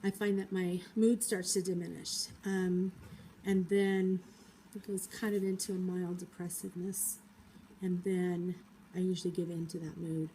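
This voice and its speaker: Woman with a cold, low mellow voice, reflective vlog monologue, halting and thoughtful. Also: flat delivery, slight background noise, rough recording. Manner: thoughtful, hesitant, many pauses and fillers. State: has a cold. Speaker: vlogger.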